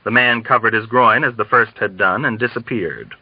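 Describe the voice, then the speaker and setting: A man's voice: fairly low pitch, normal speed, breathy edge, dry recording. A man reading a novel aloud as scripted audiobook narration. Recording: dull, dry, band-limited audio.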